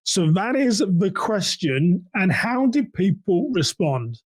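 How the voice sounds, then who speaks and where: A man's voice: high-pitched, very animated, slow pace, dry recording. A man hosting a solo podcast, explaining things conversationally as a practitioner and putting questions to the listener. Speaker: podcast host and church security practitioner.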